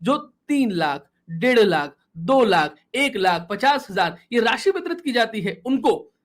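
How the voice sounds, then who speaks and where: A man's voice: high voice, big pitch swings, measured pace, a bit bright. A man. He addresses the viewers directly as a host, explaining step by step and leaning on repeated phrases for emphasis. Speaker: political commentator and talk show host. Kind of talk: political commentary addressed to viewers.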